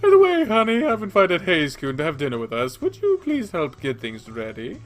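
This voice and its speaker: A man voicing a sweet, doting middle-aged mother who fusses and gently bosses. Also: high-pitched, wildly swooping pitch, normal speed, faint music behind, pitched above the performer's own voice. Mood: gently scolding. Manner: honeyed, fussing.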